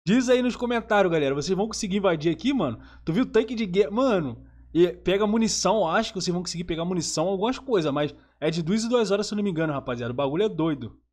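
A man's voice: high-pitched, lively intonation, racing, hardly any pauses, a little mellow. A Brazilian man giving casual, enthusiastic gameplay commentary full of slang, speaking into a gaming headset. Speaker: streamer, host of the channel.

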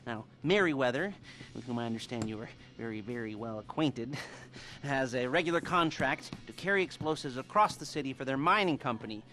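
An actor playing a smug, sardonic middle-aged heist planner in a video game, talking haltingly with muttered asides and nervous chuckles. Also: male voice, medium pitch, bright, forceful tone, close to an everyday voice. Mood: pleased, instructive. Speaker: mission briefer. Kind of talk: video game cutscene acting. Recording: music behind the voice.

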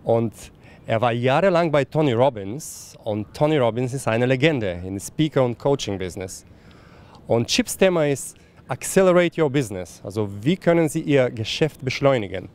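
Male reporter, forties, native German, animated intonation at medium pitch, piece to camera. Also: normal speed, clean tone. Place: outdoors at a hotel. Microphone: handheld mic with windscreen.

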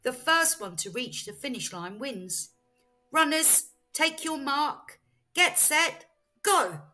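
A woman voicing a rooster race announcer in a storybook, loudly proclaiming to a crowd. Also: high-pitched, animated, takes it slowly, soft background music, pitched above the performer's own voice, heavily acted. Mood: commanding. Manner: loud, proclaiming.

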